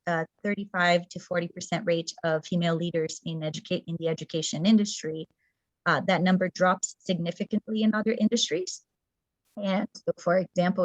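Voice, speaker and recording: A woman's voice: lowish voice, dry recording, dull audio. A middle-aged woman whose first language is Portuguese gives a thoughtful talk over a video call, with frequent fillers and restarts. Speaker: presenter.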